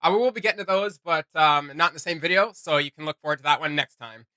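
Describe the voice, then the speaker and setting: Bright, enthusiastic man reacting casually. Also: on the high side, fast talker, clean tone, dry recording. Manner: enthusiastic, slangy. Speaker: reaction video host.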